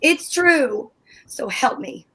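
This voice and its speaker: A woman's voice: high-pitched, expressive, takes it slowly, bright, forceful tone, full character voice. A woman reading the part of a huffy, exasperated wife in an amateur old-time radio play. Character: wife Liz, fussy dramatic housewife.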